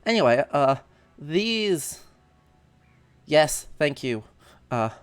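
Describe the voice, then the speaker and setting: A man's voice: a little high, big pitch swings, very slow, clear, resonant tone, no echo. A man giving casual live game commentary as a streamer, chatty and wry with scholarly asides. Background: faint game music.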